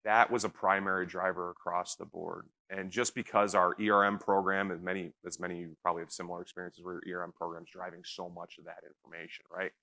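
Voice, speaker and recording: A man on a conference panel answering a question in an earnest way, talking in quick bursts and checking in with "right?". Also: sits low, level tone, sharp tone. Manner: earnest, fast bursts, repeats points with "right?". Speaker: panelist from a risk and audit team. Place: conference stage.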